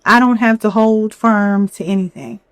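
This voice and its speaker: A young woman hosting a solo podcast, a little hoarse from a cold, talking candidly with lots of "you know". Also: mid-range voice, normal speed, dry recording. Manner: candid, chatty, many fillers and "you know". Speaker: podcast host. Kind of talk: reflective solo podcast talk.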